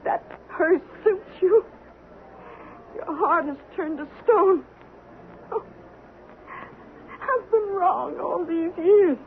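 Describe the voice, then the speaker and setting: Slow, high-voiced, anguished frontier wife. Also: female voice, expressive, full character voice. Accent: American. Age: older. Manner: halting, theatrical. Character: aging, regretful frontier wife, proud but anguished. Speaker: actor. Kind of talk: 1970s radio drama acting. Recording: tinny, noisy vintage radio broadcast.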